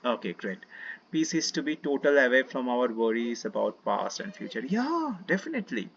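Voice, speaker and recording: A man with an Indian accent teaching a casual online session about peace and mindfulness, gentle and full of fillers. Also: medium pitch, normal speed, creaky, dull audio, a little hiss or hum. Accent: Indian English. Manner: relaxed, gentle, many fillers, invites listeners to join in. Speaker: meditation teacher.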